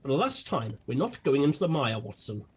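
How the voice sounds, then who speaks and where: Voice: mid-range voice, sing-song, brisk, slightly rough. A performer playing an eccentric, gluttonous, unhinged detective in a comic radio play, grandiloquent, with a British accent. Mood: breezy. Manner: grandiloquent, theatrical.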